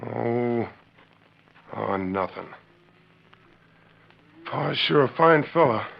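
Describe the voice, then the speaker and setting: A man's voice: mid-range voice, lively intonation, drawn-out words, vocal fry, heavily acted. A man acting as a spiteful, scheming young rancher's son in a 1940s radio western, with folksy cowboy phrasing. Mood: slyly confiding. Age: young adult. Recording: muffled, noisy old 1940s radio recording.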